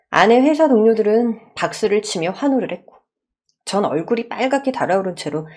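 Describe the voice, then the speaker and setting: A woman narrates a first-person story in radio drama style. Her voice has a husky edge and moves through a wide, animated pitch range.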